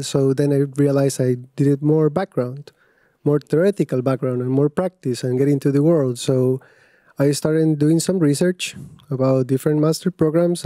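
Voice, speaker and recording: A man answers questions in a very mellow, dark voice. His phrasing is measured and slightly formal. The recording is dry. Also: medium pitch, no room sound. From Costa Rica. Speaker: master's student guest. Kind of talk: casual interview answers.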